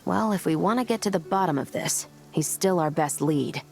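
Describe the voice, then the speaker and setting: A woman's voice: on the low side, moderate pace, creaky. A woman voicing a focused, practical adventurer in a video game, with a decisive manner. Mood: determined. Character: focused, practical female adventurer on a gang's trail. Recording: music behind the voice.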